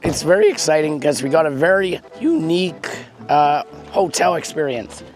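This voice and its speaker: A woman vlogging casually on a road trip, joking and self-mocking, her voice hoarse and fading from illness. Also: low voice, lively intonation, moderate pace, darkish tone, faint music behind, poor audio. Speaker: travel vlogger.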